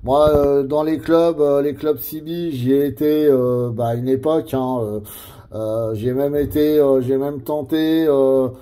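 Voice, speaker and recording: Man, very mellow dark tone, casual radio chat, hesitant CB operator, conversational. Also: mid-range voice, steady tone, measured pace.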